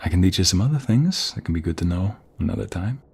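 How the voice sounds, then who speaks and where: A man playing a protective, flirtatious mobster husband in an ASMR roleplay, gravelly and husky, coaxing his partner with affection. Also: low-pitched, animated, quick pace, darkish tone, exaggerated voice. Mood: warm, proud.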